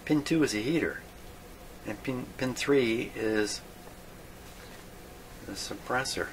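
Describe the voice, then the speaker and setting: A man thinking aloud in an unscripted workbench video, halting and puzzled, muttering to himself in a self-deprecating way. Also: mid-range voice. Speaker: host of a radio repair video. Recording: clearly noisy hobby video recording.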